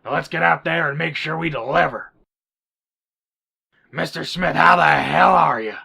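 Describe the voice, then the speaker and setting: A man playing a folksy ghoul manager, bossy but friendly, with a gravelly voice in a radio sitcom. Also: a little high, moderate pace, bright, forceful tone, heavily acted.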